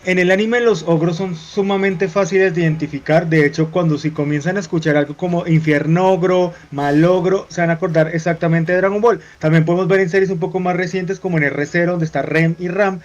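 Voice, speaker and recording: A man from Colombia, co-hosting a talk show, explaining at length with drawn-out hesitations. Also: high voice, steady tone, soft background music. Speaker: co-host. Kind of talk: casual panel chat. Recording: dull lo-fi sound.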